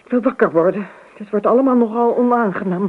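An actress playing a dreamy, coolly ironic and detached wife in a radio play, with a dry, unruffled manner. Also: female voice, medium pitch, fast, phone-quality sound, a little hiss or hum, lightly acted. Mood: dreamy, insistent. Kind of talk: radio play acting in dialogue.

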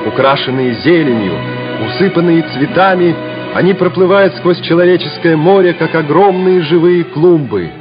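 A man narrating a Soviet war radio play in a measured, solemn, declamatory manner. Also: fairly high pitch, lightly acted. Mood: exultant, proud. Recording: dull vintage radio play recording, loud music. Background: music.

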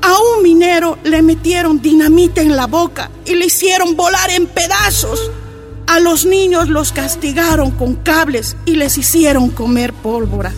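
A shaken mother from a mining camp, her voice high and grave. Faint music sits under her words in this radio drama. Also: moderate pace. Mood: grieving.

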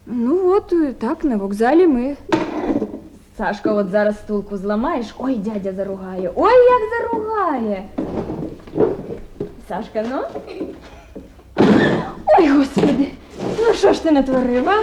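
A woman's voice: a little high, big pitch swings, takes it slowly, long pauses, heavy background noise. An actress playing a poor, anxious village mother with a Ukrainian accent, rambling and plaintive in a naturalistic radio drama. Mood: flustered, scolding.

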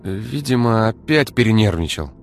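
A man voicing a fierce, sharp-tongued young woman who shrieks when angry. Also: lively intonation, normal speed, soft background music. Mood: tense. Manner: shrill, shrieking when enraged.

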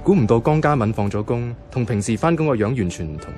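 A male actor plays a young Hong Kong office worker in a Cantonese radio drama. He is easygoing and bemused, with a middling-pitched voice and clear music behind him. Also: animated, fast talker, close to an everyday voice. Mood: wry, reflective. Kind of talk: radio drama narration and dialogue.

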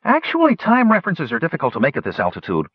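A man voicing an excitable, know-it-all male gnome scientist who lectures in rapid, precise bursts. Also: fairly high pitch, breathy edge, no echo, highs cut off, pitched above the performer's own voice, full character voice. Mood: excited.

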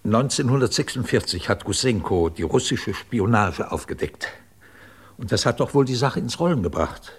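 An actor playing a proud, blunt head of a research institute in a 1950s German radio drama, with a husky voice and formal, emotional delivery. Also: male voice, medium pitch, lively intonation, moderate pace. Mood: probing.

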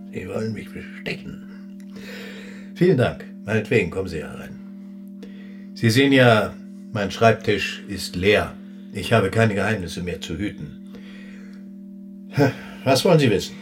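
An actor playing a long-dead German general in a radio play, brusque, wry and reserved, with a curt, dry way of talking. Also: male voice, on the low side, lively intonation, measured pace, pauses often, music in the background. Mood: sceptical, dryly amused.

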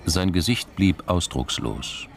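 A man's voice: low voice, lively intonation, takes it slowly, gravelly. A man narrating a crime radio drama in a scripted, literary style, with music behind him.

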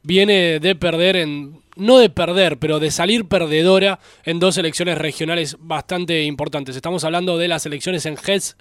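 A man's voice: on the high side, fairly even intonation, normal speed, few pauses, fairly bright tone, dry recording, studio quality. A man hosting a talk show, reporting political news in a conversational, slightly hesitant way. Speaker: radio host. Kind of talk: news presenting chat.

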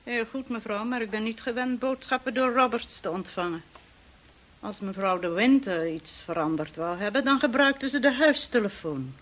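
An actress playing a cold, proud, resentful housekeeper in a radio drama, formal and stiff. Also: female voice, on the high side, normal speed, a bit bright. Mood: stiff, affronted.

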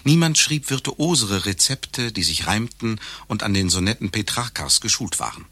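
An actor playing a learned lecturer, delivering an ornate, mock-solemn satirical monologue. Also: male voice, fairly low pitch, lively intonation, normal speed, bright, forceful tone, husky, exaggerated voice. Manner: pompous, ornate, mock-serious.

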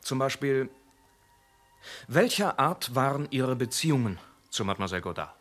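An actor playing a methodical police inspector who calmly and patiently questions witnesses in a radio drama. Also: male voice, medium pitch, normal speed, soft background music.

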